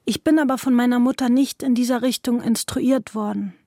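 A woman's voice: fairly high pitch, steady tone, fairly quick, mellow, no room sound, studio quality, close to an everyday voice. A woman playing a resolute young daughter giving formal testimony in a radio documentary. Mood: firm, formal.

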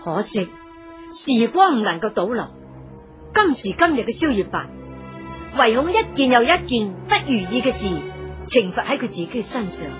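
A female storyteller narrates a scripted radio serial. Her higher-set voice moves expressively up and down, addressing listeners directly. Music plays clearly underneath. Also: sing-song, normal speed.

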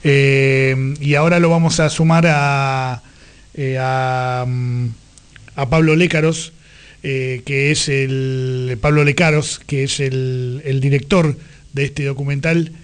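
A man's voice: medium pitch, fairly even intonation, takes it slowly, clean tone. An Argentine man hosting a conversational radio interview. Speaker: radio show host.

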